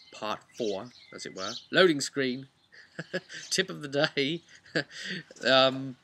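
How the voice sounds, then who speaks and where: A man's voice: medium pitch, sharp tone. A man chattily recapping a tabletop game as its game master, slipping into casual storytelling, with some background noise.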